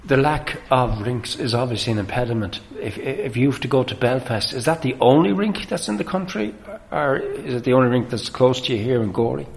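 A man's voice: mid-range voice, lively intonation, brisk, creaky, hardly any pauses, a little hiss or hum. An Irish man interviewing on local radio, conversational and inquisitive, often rephrasing his questions as he goes.

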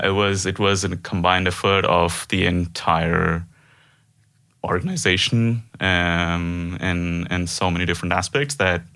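A man in his thirties from India talks through his career on a podcast in a deep, slow voice. His tone carries a bright, forceful ring. He hesitates and restarts often, breaking into light laughs. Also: gravelly, clean tone. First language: not Norwegian; Indian language. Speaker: podcast guest, software developer. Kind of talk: casual interview chat.

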